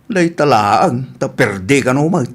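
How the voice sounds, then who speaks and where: A man's voice: mid-range voice, expressive, takes it slowly. Older farmer father male actor, easygoing, Ilocano accent, comic radio drama. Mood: hesitant, casual.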